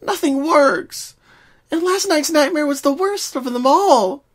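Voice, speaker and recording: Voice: high voice, a little slow, pitched above the performer's own voice. A performer voicing a frightened, exhausted young female pony with a quivering, pleading voice in a cartoon fan reading. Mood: anguished. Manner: whiny, dramatic, pleading.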